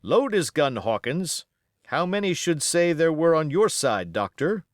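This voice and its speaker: Gruff, commanding sea captain played by a male narrator, terse orders, animated delivery, storybook adventure. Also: on the high side, measured pace, no room sound, studio quality, pitched above the performer's own voice. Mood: gruff, impatient. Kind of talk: audiobook character acting.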